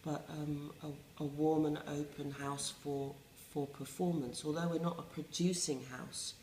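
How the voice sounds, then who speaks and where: A middle-aged English woman, a resident playwright, talking reflectively in a wry, self-mocking way with many pauses and restarts. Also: low voice, little pitch movement, darkish tone, roomy sound, a little hiss or hum. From England. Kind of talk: reflective interview talk.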